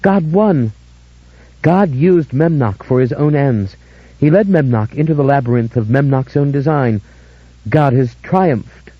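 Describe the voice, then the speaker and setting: A man voicing a fervent, ecstatic young woman who cries out in a high, shrill voice. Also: measured pace, slightly rough, dry recording. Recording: dull lo-fi audiobook recording.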